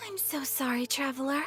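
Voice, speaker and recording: A woman playing a polite, gentle, slightly bashful young noblewoman in a video game, speaking formally and softly. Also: sits high. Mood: apologetic, flustered. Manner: courteous, formal, soft-spoken. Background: music.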